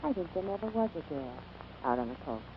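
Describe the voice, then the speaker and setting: An actress playing a wounded, bedridden woman, faint, gentle and resigned, in 1940s radio drama style. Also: on the low side, big pitch swings, quick pace. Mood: weak, tender. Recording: muffled, noisy 1940s radio recording.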